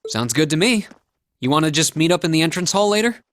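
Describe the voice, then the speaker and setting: A man's voice: a little high, lively intonation, fast, fairly bright tone. An actor playing an earnest, easygoing young male academy student in a video game, polite and friendly. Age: young adult. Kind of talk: English anime-style game voice acting.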